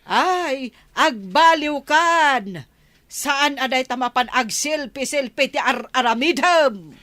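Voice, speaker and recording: A woman's voice: high-pitched, slow pace, sharp tone, heavily acted. A woman playing a bossy, exasperated village wife in a radio comedy, scolding and commanding. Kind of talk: radio comedy drama acting.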